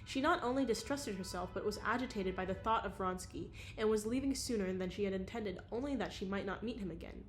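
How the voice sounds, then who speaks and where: A woman's voice: mid-range voice, brisk, hardly any pauses, faint music behind. A young woman reading a novel aloud, with casual, chatty asides in between. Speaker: audiobook reader.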